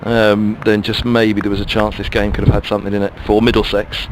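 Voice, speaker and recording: A man's voice: fairly low pitch, monotone, brisk, a little hiss or hum, low-quality recording. Chatty cricket commentator, man. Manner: chatty, musing between deliveries. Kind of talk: ball-by-ball radio commentary.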